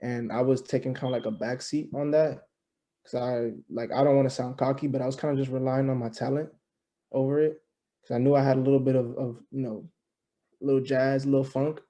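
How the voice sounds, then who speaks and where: A young man in his early twenties, a musician guest on a podcast. His voice is very dark and mellow. He talks in a loose, slangy way and keeps checking in with the listener. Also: mid-range voice, level tone, fairly quick. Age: 20s. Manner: slangy, loose, peppered with "you know what I'm saying". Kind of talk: casual interview chat.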